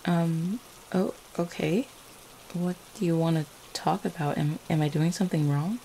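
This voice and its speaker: A gentle, devoted vampire girlfriend from an ASMR roleplay , acted by a woman. Her voice sits low and mellow, with a gravelly creak at the edges. She speaks tenderly and a little hesitantly, full of affection and reassurance. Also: normal speed, natural voice, rough recording. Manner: soft-hearted, hesitant, tender. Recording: faint steady rain-like background noise. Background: rain falling.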